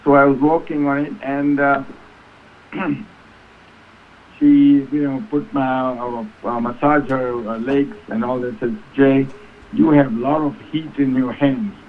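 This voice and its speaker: A man's voice: medium pitch, steady tone, unhurried. A man in his eighties from Tanzania, talking conversationally as an interview guest over the phone. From Tanzania, East Africa. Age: 80s. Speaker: guest, reflexology practitioner. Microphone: phone call. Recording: noisy, tinny phone line.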